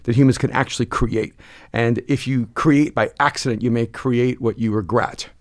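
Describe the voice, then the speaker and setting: Expansive, animated Salvadoran-born man in his sixties. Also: medium pitch. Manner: expansive, gestures a lot, quick bursts then slow emphasis. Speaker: pastor and author, podcast guest. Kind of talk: reflective conversational storytelling. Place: dark podcast studio. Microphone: studio mic on a boom, close.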